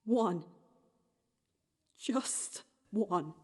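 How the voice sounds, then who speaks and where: An actress playing a fragile, image-obsessed wife and mother in a radio play, speaking in halting fragments. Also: medium pitch, lively intonation, breathy edge, exaggerated voice. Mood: reluctant.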